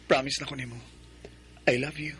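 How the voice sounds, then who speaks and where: A man playing a gentle, earnest young man courting a woman in a radio drama. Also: mid-range voice, little pitch movement, normal speed, close to an everyday voice. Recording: radio drama with music bed.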